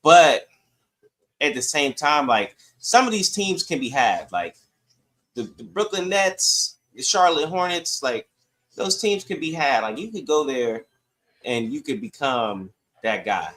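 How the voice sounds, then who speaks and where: A man's voice: a little high, very animated, a little slow, a bit bright. A man co-hosting a sports podcast, giving his opinions in casual, conversational debate.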